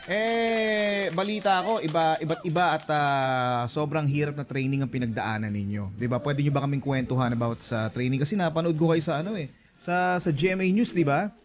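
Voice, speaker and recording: A man's voice: on the high side, normal speed, dull audio. A man with a Filipino accent hosting a conversational celebrity interview on radio. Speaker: radio host.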